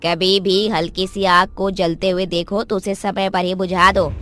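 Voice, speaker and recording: A woman voicing a protective, bossy witch in an animated horror story, speaking emphatically. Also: medium pitch, unhurried, soft background music, natural voice. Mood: earnest. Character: protective female witch, bossy helper of villagers.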